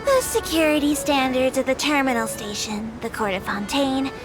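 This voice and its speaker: A woman's voice: sits high, moderate pace, music in the background, natural voice. A woman voicing a small, polite, dutiful female creature who works as a tour guide in a video game, reciting announcements formally and precisely.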